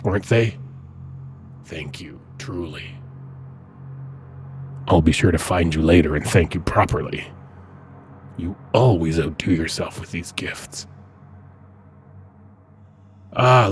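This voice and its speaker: A man playing a suave, haughty demon prince in a dramatic audio roleplay, speaking in a husky, measured, courtly and condescending manner. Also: sits low. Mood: courtly, amused. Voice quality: husky, breathy. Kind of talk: dramatic ASMR roleplay monologue.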